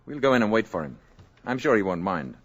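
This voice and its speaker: An actor playing a courteous male space visitor posing as an ordinary man, soft-spoken and assured, in 1950s radio drama style. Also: fairly low pitch, sing-song, fast. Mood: calm.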